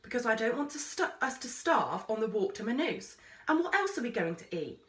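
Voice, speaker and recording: A woman's voice: fairly high pitch, big pitch swings, brisk, fairly bright tone, recorded from a distance, voice pushed up. A woman voicing an eager, curious, earnest boy in a storybook. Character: eager, curious, cautious-but-brave boy Fred. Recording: roomy, echoey sound.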